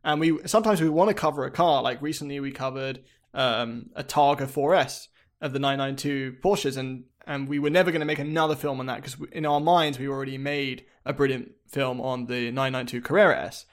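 Man, channel co-founder, easygoing rambling talker, mid pitch. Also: steady tone, a little fast. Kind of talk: casual podcast chat.

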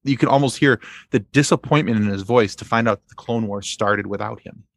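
Man, low gravelly voice, dry close sound, casual fan talk, halting explanations. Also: no room sound. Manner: halting, repeats phrases as he explains. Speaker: podcast guest.

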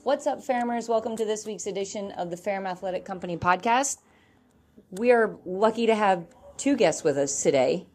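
A woman's voice: mid-range voice, a little fast, darkish tone. A woman hosting a podcast, chatting with enthusiasm and energy. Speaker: podcast host. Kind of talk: upbeat podcast chat.

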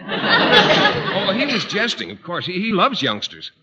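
An actor playing an earnest, bashful, mild-mannered bachelor in a vintage radio sitcom, hesitant and naive. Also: male voice, high-pitched, wildly swooping pitch, measured pace, muffled recording, a loud crowd, heavily acted. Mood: sheepish. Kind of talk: 1940s-50s radio sitcom acting. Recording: very noisy vintage radio recording.

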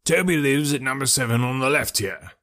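A man voicing a cranky, lanky old male animal keeper who yells threats and then chats fussily. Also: mid-range voice, expressive, brisk, close to an everyday voice. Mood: fussy, welcoming. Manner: shouting threats, then fussy and chatty.

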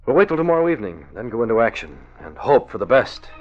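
An actor playing a calm, assured male hero who plans a crimefighting scheme, in a dull old radio recording. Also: medium pitch, fast, soft background music, natural voice. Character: calm, confident male publisher and masked crimefighter. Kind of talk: 1940s radio acting.

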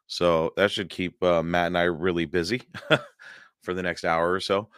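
A hoarse man hosting a paranormal podcast livestream, chatting casually and rambling with many fillers and chuckles. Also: low voice, fairly even intonation, unhurried, a bit bright, no room sound, studio quality. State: hoarse, losing his voice.

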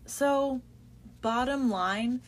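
A woman's voice: high voice, extremely slow, slight background noise. A young adult woman co-hosting a faith podcast in casual, earnest, encouraging chat. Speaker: podcast co-host.

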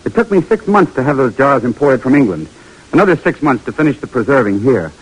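An actor playing an earnest, struggling young husband with big ambitions, in dramatic 1940s radio style. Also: male voice, mid-range voice, big pitch swings, brisk, slightly rough, poor audio. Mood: despairing.

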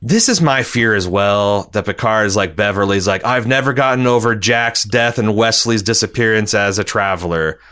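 A man's voice: lowish voice, moderate pace, few pauses, a bit bright. A man hosting a podcast, giving casual, rambling fan commentary and reading out feedback, repeating phrases for emphasis. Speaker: podcast host.

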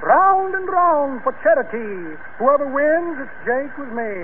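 A man's voice: very high voice, expressive, a little slow. A man playing a slick, pompous con man who barks rhyming carnival patter in a 1940s radio comedy. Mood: grandiose. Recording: slightly noisy, tinny vintage radio recording.

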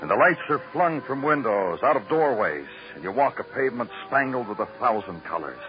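An actor playing a brooding, world-weary American detective, narrating a 1950s noir radio show in dramatic, poetic phrases. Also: male voice, mid-range voice, big pitch swings, fairly quick, lightly acted. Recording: dull vintage radio recording. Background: music.

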